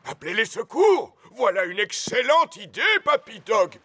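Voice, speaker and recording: A man's voice: high voice, sing-song, moderate pace, pushed and bright, full character voice. A man voicing a blustering, food-loving old grandpa rabbit in a children's cartoon, boisterous and emphatic. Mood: plaintive.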